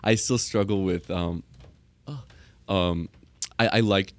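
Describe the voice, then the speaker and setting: A man in casual podcast conversation, earnest and reassuring, often halting as he thinks aloud. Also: deep voice.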